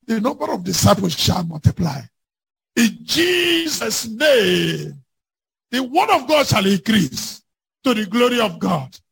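A man preaching a fervent sermon with scripture readings, emphatic and declamatory, often raising his voice to a shout. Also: sits high, big pitch swings, measured pace, gravelly, husky.

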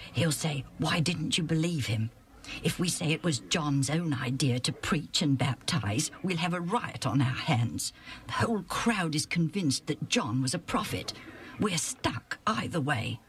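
A woman's voice: low-pitched, slight background noise. A woman voicing scheming council elders in a storybook Bible tale, muttering as they plot.

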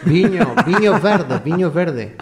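A man's voice: high-pitched, expressive, slow, slight background noise. A man from Spain hosting a radio travel show, jokey and laughing often as he chats with guests. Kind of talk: lively radio chat.

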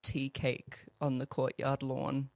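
A woman's voice: very deep voice, monotone, takes it slowly, darkish tone, no room sound. Giggly friendly woman guest. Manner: friendly, giggly, lots of fillers. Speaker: book festival guest. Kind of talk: radio interview.

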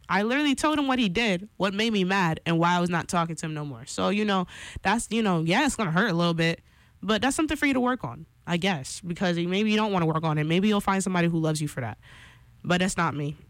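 A woman's voice: medium pitch, fast, dry recording. Chatty young woman radio host, dry sound. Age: 20s. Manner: animated, chatty, opinionated, lots of fillers. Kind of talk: casual radio talk segment.

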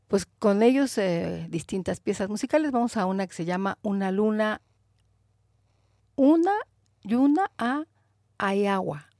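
A woman with a Mexican Spanish accent, hosting a radio show in a measured, thoughtful way, pausing with fillers as she comments. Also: medium pitch, lively intonation, dark tone, no room sound, clean studio sound. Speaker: radio host.

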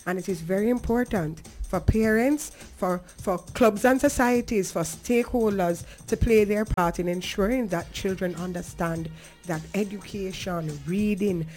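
A woman's voice: medium pitch, moderate pace, a little mellow, faint music behind. A woman with a Jamaican accent talking earnestly and conversationally as a talk show host, emphatic and now and then slipping into patois.

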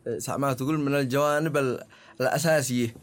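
Male guest, Yemeni accent, hoarse-tinged mid-pitched voice, informal answers with halting phrasing. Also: fairly even intonation. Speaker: singer, interview guest.

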